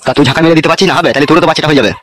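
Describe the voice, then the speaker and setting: Crude, mocking cartoon gang boss played by a man, bossy, slightly high male voice, racing pace. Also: very fast, a crowd in the background, close to an everyday voice. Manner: bossy, teasing, swearing. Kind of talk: comedy cartoon dubbing.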